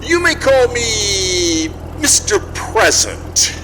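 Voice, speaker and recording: A man playing a jolly, grand, fat giant of a gentleman in a radio drama, with a booming theatrical delivery. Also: big pitch swings, very slow, soft background music, heavily acted. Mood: jovial, grand. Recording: cavernous reverb, background noise.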